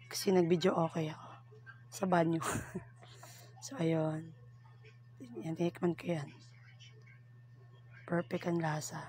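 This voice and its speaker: A chatty woman walks through her cooking in a relaxed, giggly way and teases herself as she goes. Her voice sits a little low and sounds hoarse, often slipping into a breathy whisper. She records at home, in a bathroom. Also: takes it slowly, long pauses. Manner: chatty, giggly, self-teasing. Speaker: cooking vlogger. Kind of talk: casual cooking tutorial in Taglish.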